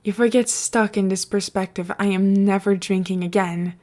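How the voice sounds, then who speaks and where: A woman's voice: mid-range voice, steady tone, moderate pace, dark tone, lightly acted. A woman voicing a bemused, grumbling young man with a dry, reluctant manner in podfic dialogue.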